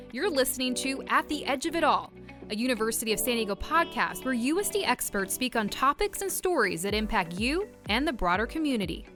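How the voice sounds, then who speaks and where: A woman announcer with a high voice reads a scripted podcast intro at a measured pace. Loud music plays under her words.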